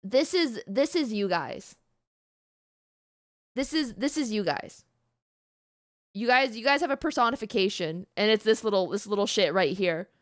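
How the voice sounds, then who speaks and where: A woman's voice: medium pitch, animated, moderate pace, pauses often, no room sound, clean studio sound. A woman livestreamer chatting casually and playfully with her live audience in an informal, mildly sweary way. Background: background music.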